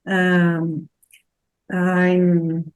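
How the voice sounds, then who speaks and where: Slow, hesitant Brazilian woman student. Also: on the low side, monotone, dull audio. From southern Brazil. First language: Portuguese. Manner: hesitant, with many fillers and restarts.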